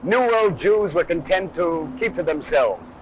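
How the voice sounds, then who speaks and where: A man's voice: fairly high pitch, sing-song, unhurried, a little hiss or hum, low-quality recording. A man giving scripted, dramatic documentary narration on a harsh, over-modulated old film soundtrack. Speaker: presenter of an army training film.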